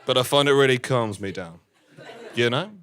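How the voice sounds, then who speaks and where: A man performing live musical stand-up on stage, talking in an awkward, understated, halting way. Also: medium pitch, fairly bright tone, smooth, some chatter behind. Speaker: musical comedian with keyboard. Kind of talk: live stand-up with spoken comic songs. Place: live stage before an audience. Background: keyboard music.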